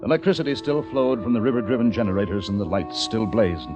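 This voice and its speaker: A man's voice: lowish voice, fast, natural voice. A man acting as a desperate, weary plague survivor, narrating an old-time radio drama in an American accent with theatrical, clipped phrasing. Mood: haunted, grim. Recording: vintage radio recording, dull sound, orchestral score. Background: dramatic orchestral music with brass.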